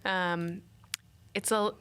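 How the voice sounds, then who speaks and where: A woman's voice: medium pitch, fairly even intonation, drawn-out words, fairly bright tone, clean tone. A woman hosting a studio interview, asking curious, probing follow-up questions. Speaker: show host.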